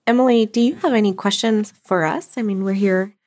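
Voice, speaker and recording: A woman's voice: medium pitch, brisk, a little mellow. A woman from Thailand with an American-leaning accent, co-hosting a podcast and reflecting casually on her own experience. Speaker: podcast co-host. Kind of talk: casual conversation, personal reflection.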